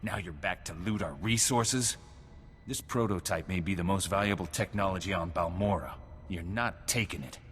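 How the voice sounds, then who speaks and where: A bitter, defiant resistance fighter from a video game. The voice is low and accusing, in a reverberant space with faint rumbling noise. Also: male voice, normal speed, fairly bright tone. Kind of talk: scripted video game cutscene acting.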